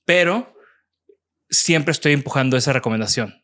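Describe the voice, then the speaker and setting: A man's voice: mid-range voice, animated, normal speed, fairly bright tone. A man in his thirties with a Mexican accent, hosting a casual interview and often restarting his sentences. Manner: hesitant, restarts, casual slang. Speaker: podcast host and professor.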